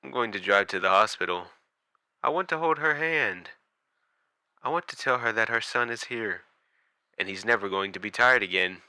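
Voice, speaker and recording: A man playing an exhausted, frightened night watchman recording halting horror-story log entries, dramatic and sometimes breaking into whispers. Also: on the low side, sharp tone, clean tone, no room sound. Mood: eerily calm. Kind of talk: first-person horror audio log narration.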